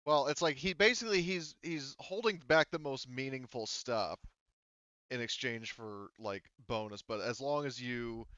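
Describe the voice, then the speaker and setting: A man's voice: mid-range voice, unhurried, fairly bright tone, dry recording, muffled recording. A man acting as game master, casually explaining and clarifying details to his players.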